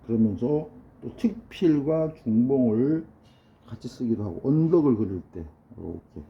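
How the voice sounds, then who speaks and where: A hoarse, middle-aged man with a cold gives a measured ink-painting lesson while he paints. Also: medium pitch, animated. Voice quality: gravelly. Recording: dull lo-fi sound with faint noise.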